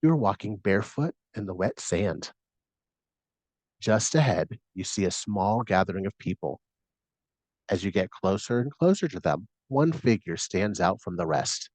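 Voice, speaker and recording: A man with a fairly low voice leads a guided meditation. He reads scripted instructions and imagery in a soothing, measured way, and the recording is close and dry. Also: sing-song, darkish tone, no echo, rough recording.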